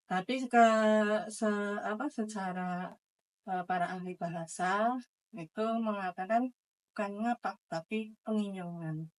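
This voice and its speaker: A woman teacher, explaining informally in an interview in a didactic way, halting and often correcting herself. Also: mid-range voice.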